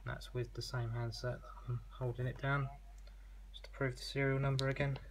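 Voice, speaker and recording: Man with a flat, even delivery. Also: mid-range voice, normal speed, noisy background. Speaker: online seller demonstrating two-way radios. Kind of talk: informal product demonstration.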